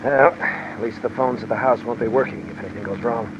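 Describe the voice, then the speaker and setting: A man's voice: medium pitch, steady tone, brisk, lightly acted. An actor playing a nervous, suspicious man mixed up in a robbery, clipped and agitated, in 1950s radio drama style. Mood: uneasy. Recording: muffled noisy vintage radio, rain behind.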